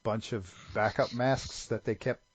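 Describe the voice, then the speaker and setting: A man's voice: lowish voice, fairly even intonation, measured pace, no echo. A man co-hosting a casual podcast chat, joking and exasperated, swearing casually. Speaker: podcast co-host.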